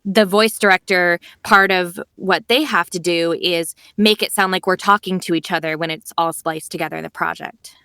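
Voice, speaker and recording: A woman's voice: lowish voice, moderate pace, few pauses, smooth, no room sound. Chatty woman on a dry mic. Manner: chatty, animated, many "like" and "you know" fillers. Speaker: voice actress guest. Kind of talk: casual podcast interview.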